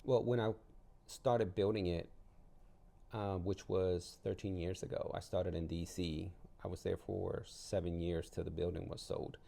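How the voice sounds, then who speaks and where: Deep, gravelly man telling stories casually. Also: fairly even intonation, a little slow, warm tone. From El Salvador. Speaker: interview guest, artist and gallery owner. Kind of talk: casual interview storytelling.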